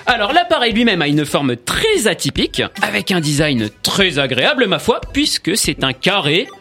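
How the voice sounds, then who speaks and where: A man's voice: high-pitched, big pitch swings, normal speed, bright, forceful tone. A man presenting a tech segment on a radio show in a chatty, joking manner. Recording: faint music behind.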